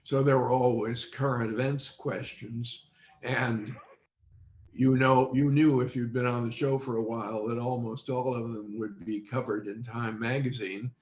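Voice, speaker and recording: An elderly man reminisces unscripted as an interview guest. His delivery is very slow and measured, broken by long pauses. The sound is that of a video call, muffled and noticeably roomy. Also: mid-range voice, steady tone, darkish tone, a little hiss or hum. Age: 80s or older. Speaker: interview guest, former radio quiz show child panelist.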